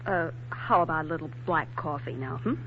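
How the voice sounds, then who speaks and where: An actress playing a kindly, efficient young secretary, soothing yet gently firm, in 1940s radio drama style. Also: female voice, low-pitched, fairly quick, natural voice. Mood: helpful. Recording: muffled, noisy 1940s radio recording.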